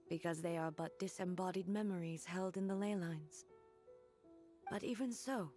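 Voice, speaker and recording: A woman voicing a regal, solemn female ruler in a video game, speaking in a soft, measured, grave way. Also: mid-range voice, close to an everyday voice. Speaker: game character.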